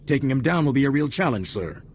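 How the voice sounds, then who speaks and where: A man's voice: mid-range voice, lively intonation, quick pace, slight background noise, natural voice. An actor playing a dutiful army corporal in a video game, formal and deferential as he briefs a superior. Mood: crisp, dutiful. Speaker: soldier giving briefing.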